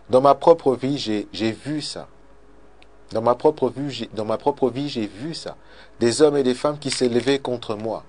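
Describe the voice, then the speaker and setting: A man preaching a radio sermon and leading a prayer, fervent, repeating his phrases and swinging between loud and soft. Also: medium pitch. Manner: fervent, repeats phrases, swells and drops in loudness.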